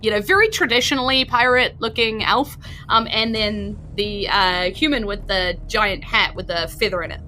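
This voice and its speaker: A woman's voice: medium pitch, moderate pace, pushed and bright, a little hiss or hum, rough recording. A woman with a New Zealand accent improvising narration as game master of a tabletop role-playing game.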